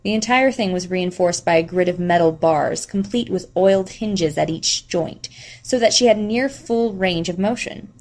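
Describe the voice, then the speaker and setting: Woman audiobook narrator, slightly low voice. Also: moderate pace, a little mellow. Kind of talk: scripted narration of a novel.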